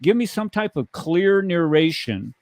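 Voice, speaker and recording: A man's voice: sits high, sing-song, unhurried, a little mellow, no echo. A man in his fifties hosting an online debate panel, pressing his opponent with pointed, challenging questions. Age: 50s.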